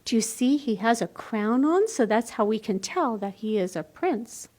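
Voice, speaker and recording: A woman's voice: a little high, expressive, normal speed, darkish tone. A woman reading a picture book to young children as a storytime host, expressively and with simple explanatory asides. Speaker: library storytime reader.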